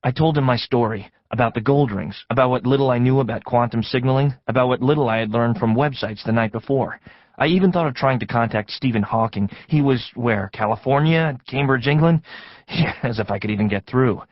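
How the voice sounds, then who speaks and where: A middle-pitched male voice from an actor plays a weary forensic detective in a radio drama. He is informal and sympathetic with a reflective noir manner, recorded with a slightly dull sound. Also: fairly quick, no echo, natural voice. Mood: brooding, reflective. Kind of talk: audio drama acting and narration.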